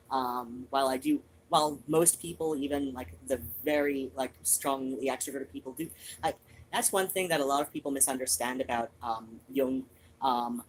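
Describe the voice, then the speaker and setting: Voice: mid-range voice, slight background noise, poor audio. A streamer chatting casually to viewers in a rambling, digressive way.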